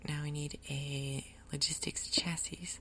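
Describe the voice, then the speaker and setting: A woman gaming host giving soft, whispery ASMR commentary, casually thinking aloud in an unhurried way. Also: low voice, level tone, a little hiss or hum. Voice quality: soft, whispery, breathy undertone. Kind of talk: soft-spoken ASMR Minecraft gameplay commentary.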